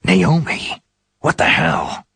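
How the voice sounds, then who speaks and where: An actor playing a gruff, battle-weary special forces operative in a video game, gravelly and raspy, terse and intense in his questioning. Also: takes it slowly, a bit bright, no echo. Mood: alarmed, urgent. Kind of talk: dramatic video game voice acting.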